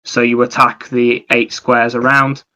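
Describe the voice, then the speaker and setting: A man's voice: mid-range voice, little pitch movement, unhurried, bright, forceful tone. A man co-hosting a podcast, talking casually about games in a rambling, explanatory way. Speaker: podcast co-host. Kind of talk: casual gaming discussion.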